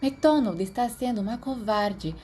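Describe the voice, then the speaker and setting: A woman's voice: on the high side, normal speed, mellow, slight background noise, pitched above the performer's own voice, close to an everyday voice. A Brazilian woman voicing a frank, wry, gossipy teenage schoolgirl in an audiobook. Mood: disapproving.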